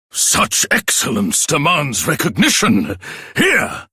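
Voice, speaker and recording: A man's voice: fairly high pitch, pushed and bright, breathy edge, no echo, clean studio sound, exaggerated voice. An actor playing a solemn, pompous king in a fantasy game, with a stately, declamatory delivery. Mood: grand, celebratory. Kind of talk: theatrical fantasy game voice acting.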